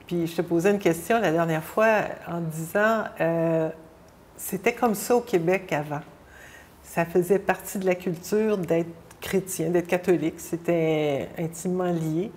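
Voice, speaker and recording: Low-pitched woman interviewer. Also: measured pace, a little mellow. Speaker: interviewer, TV host. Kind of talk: conversational television interview.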